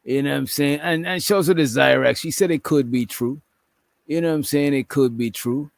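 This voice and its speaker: Male reaction host, gravelly voice, dry close sound, loose profane chatter. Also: mid-range voice, brisk, no echo. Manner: profane, laughing, repeats "you know what I'm saying". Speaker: commentary show host. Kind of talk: casual reaction commentary.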